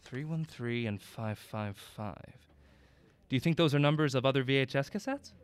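A man playing a mild, curious young sidekick in an audio drama, gently wry and a little hesitant. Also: mid-range voice, normal speed, clear, resonant tone, close to an everyday voice. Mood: curious, teasing.

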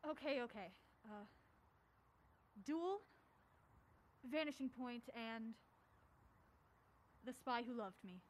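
A woman's voice: fairly high pitch, expressive, takes it slowly, long pauses. A woman playing a mild-mannered but exasperated young film buff in an audio drama, anxious in manner. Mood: breathless relief.

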